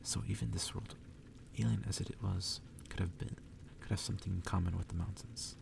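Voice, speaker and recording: Man, hushed ASMR story reader, low flat pitch, breathy, unhurried, distant noisy recording. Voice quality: breathy, whispery. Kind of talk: ASMR whispered reading of a story. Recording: distant mic with clear background noise.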